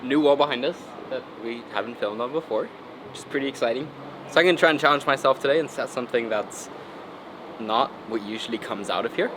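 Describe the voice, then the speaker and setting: Man with a slight cold, relaxed, thinking aloud, indoor gym, clear background hum. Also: medium pitch, quick pace. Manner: relaxed, thinking aloud with many ums and likes. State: fighting off a cold, sniffling. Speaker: climbing route setter. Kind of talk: casual vlog narration while working.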